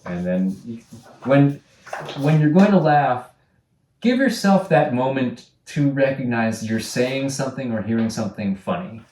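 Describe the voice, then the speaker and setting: Slow, halting man directing from across a room. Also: medium pitch, animated, darkish tone. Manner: halting, thinking aloud, acts out sample lines. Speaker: director giving actors notes. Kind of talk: informal rehearsal notes. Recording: roomy, distant mic, faint music.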